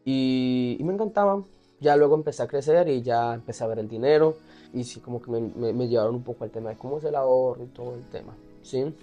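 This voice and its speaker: Male interview guest, Colombian accent, soft mellow tone, mid pitch, candid and conversational, light music behind. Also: fast, muffled recording. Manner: candid, often laughing as he talks. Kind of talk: casual personal storytelling.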